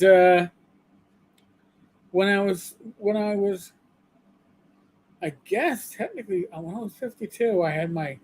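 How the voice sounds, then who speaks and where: A man in his fifties co-hosting a livestream in casual, rambling chat, self-deprecating and prone to halting restarts. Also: high-pitched, a little hiss or hum, low-quality recording. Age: 50s. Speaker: livestream co-host.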